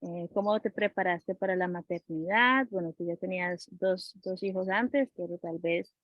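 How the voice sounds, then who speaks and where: A woman interviewer asking questions conversationally, with hesitant, halting phrasing. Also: on the low side, no echo, muffled recording.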